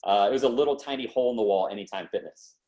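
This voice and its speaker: A man in his late twenties with a somewhat low voice tells stories as a podcast guest. His talk is loose and slangy, and he drops into the voices of people he quotes. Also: rapid-fire, highs cut off. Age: 20s. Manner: lively, slangy, acts out quotes. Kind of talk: casual storytelling in an interview.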